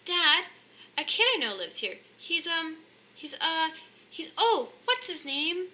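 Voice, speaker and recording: A woman's voice: extremely high pitch, very animated, slow, pushed and bright, pitched above the performer's own voice, exaggerated voice. A woman voicing an eager, forgetful young bear boy in a children's storybook. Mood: hesitant, eager. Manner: eager, hesitant searching for words.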